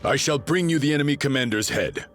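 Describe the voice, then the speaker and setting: A man's voice: mid-range voice, animated, quick pace, a bit bright, faint music behind, natural voice. A man playing a proud, honourable ancient warrior general, formal and solemn, in a video game cutscene. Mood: resolute.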